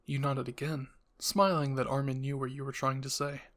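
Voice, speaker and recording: A man's voice: mid-range voice, level tone, moderate pace, fairly bright tone. Man narrating a scripted roleplay story.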